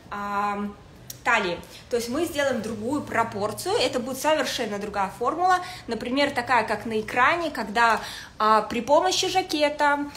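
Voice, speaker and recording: Woman stylist chatting on a livestream, kinda high voice, halting delivery, roomy echo. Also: a bit bright. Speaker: fashion stylist hosting a livestream. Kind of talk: casual livestream style lesson. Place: indoors in a home living room with a desk. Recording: echoey room with faint noise.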